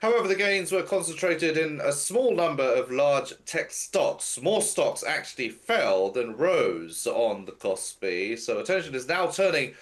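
A man with a blocked nose briefing market and world news over a video call, steady with frequent fillers. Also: fairly high pitch, a little slow, hardly any pauses. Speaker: news commentator.

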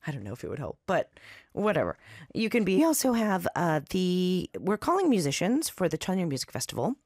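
A woman hosting a radio show, chatting and reading announcements in a raspy, slightly nasal voice after an allergy attack. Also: lowish voice, fairly quick, dry recording, studio quality. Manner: chatty, loose and lively, with fillers. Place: radio studio. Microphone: studio microphone.

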